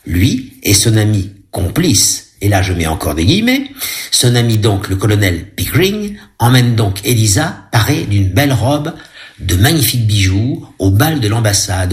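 Chatty, ironic male film describer. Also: on the low side. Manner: chatty, ironic asides to listeners. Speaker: audio describer.

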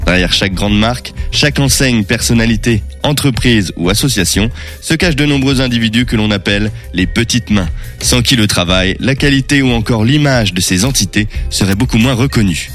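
A man presenting a radio show, reading a scripted introduction in a measured, friendly announcer manner before interviewing a guest. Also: fairly low pitch, a bit bright, faint music behind. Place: radio studio.

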